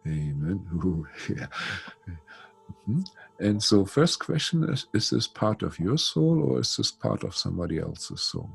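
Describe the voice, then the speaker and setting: Older man, very low gravelly husky voice. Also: huge pitch swings, unhurried. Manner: chatty, warm-toned, many "you know" fillers. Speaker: spiritual healer. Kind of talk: guided spiritual session. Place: home room. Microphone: headset on video call.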